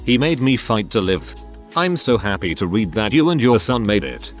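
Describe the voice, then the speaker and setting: A man narrates a string of online posts in a steady, even read-aloud style. His voice sits on the low side. Soft music plays faintly beneath him. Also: normal speed. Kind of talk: scripted narration. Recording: soft music bed behind voice. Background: faint background music.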